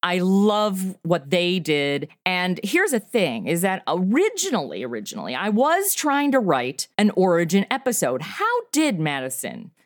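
A woman in her forties, a podcast creator being interviewed, talking enthusiastically in quick bursts. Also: on the low side, big pitch swings, dry recording, studio quality. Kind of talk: casual interview chat.

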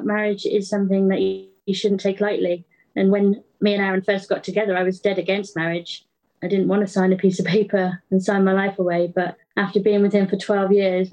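A woman's voice: medium pitch, warm tone, low-quality recording. A woman talking casually as an interview guest. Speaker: podcast actress and guest.

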